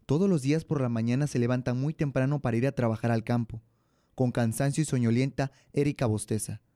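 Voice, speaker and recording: A man's voice: medium pitch, steady tone, fairly quick, warm tone. A young man with a Mexican accent presenting and narrating a scripted radio drama. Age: young adult.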